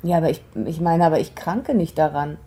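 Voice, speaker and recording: A woman playing an easygoing young musician in a naturalistic radio drama, talking casually and haltingly, often repeating herself. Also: low-pitched, steady tone, warm tone. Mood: defensive, casual. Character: easygoing young female musician proudly demonstrating her new song.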